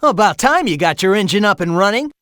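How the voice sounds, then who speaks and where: Brash game hedgehog hero, teasing and coaching his rival, animated delivery, dry close booth sound. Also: high voice, a little fast, no echo, heavily acted. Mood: cocky teasing. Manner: cocky, teasing banter; urgent warnings and coaching. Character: cocky young male hedgehog hero, fast and teasing. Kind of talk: video game voice acting.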